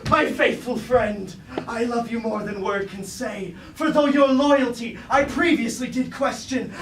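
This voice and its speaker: Man acting scheming robot king, pompous archaic diction, high male voice, staged reading, distant noisy recording. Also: a bit bright, echoey room, exaggerated voice. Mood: melodramatic grief. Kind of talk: staged reading of a mock Greek tragedy. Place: indoor staged reading, mic far from actor.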